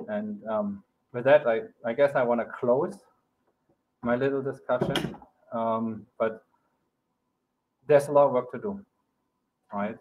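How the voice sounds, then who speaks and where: Middle-aged male academic, relaxed talk full of "um"s, average pitch, narrow phone-like video-call sound. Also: a little slow, pauses often, background noise. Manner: loose and conversational, frequent "right?" and "um". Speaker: keynote speaker. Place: home office, video call. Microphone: webcam or laptop mic on a video call.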